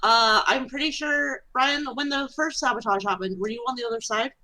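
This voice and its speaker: A woman's voice: a little high, a little fast, bright, forceful tone, rough recording. A woman chatting during an online game, reasoning aloud and asking questions. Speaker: game stream guest. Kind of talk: casual gaming chat.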